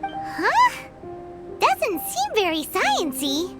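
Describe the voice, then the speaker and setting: A woman voicing a tiny, excitable, childlike floating fairy girl in an anime-style video game, with a squeaky voice and bratty manner. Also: extremely slow, over loud music, exaggerated voice. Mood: doubtful. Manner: speaks of herself in the third person, bratty.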